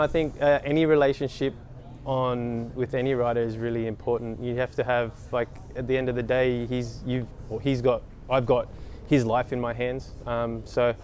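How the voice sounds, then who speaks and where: Flat-voiced man, hesitant. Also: medium pitch, a little hiss or hum. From Australia. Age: 30s. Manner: hesitant, many ums and restarts. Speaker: race team mechanic. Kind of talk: casual interview at a race paddock.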